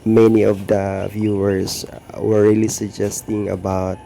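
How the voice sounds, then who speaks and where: A man with a Filipino accent narrating a home gardening vlog in a slow, earnest way. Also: lowish voice, flat delivery, vocal fry, dark tone, slight background noise. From Philippines. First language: Tagalog or Filipino. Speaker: gardening vlogger.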